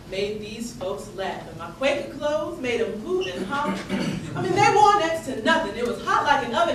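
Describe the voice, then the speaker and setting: A woman's voice: high voice, recorded from a distance. A woman playing an earnest young enslaved woman from the American South, telling her story in a folksy, emphatic theatrical monologue with a Southern accent. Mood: wry, amused. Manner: folksy, emphatic, halting storytelling with dramatic swells. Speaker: stage actor. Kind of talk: theatrical monologue, 19th-century period storytelling. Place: indoor theatre stage, recorded from a distance. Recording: echoey theatre stage from afar, noisy.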